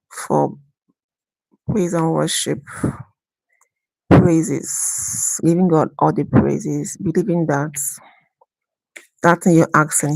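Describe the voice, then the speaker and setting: Slow-talking woman on a live stream. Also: fairly low pitch, gravelly, a little mellow, no echo, poor audio. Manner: unhurried and earnest, repeats herself. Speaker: livestream host. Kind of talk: casual live chat between worship songs.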